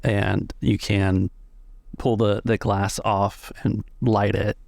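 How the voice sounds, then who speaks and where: A man narrates a tabletop game as its game master in a casual, descriptive way. His voice is fairly low with plenty of fry, captured close and dry. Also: little pitch movement, takes it slowly, darkish tone, dry recording. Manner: descriptive, conversational.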